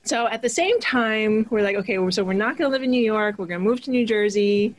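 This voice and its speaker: A woman from Hawaii, a podcast guest, telling her life story in a relaxed, casual way with frequent laughs. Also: medium pitch, quick pace.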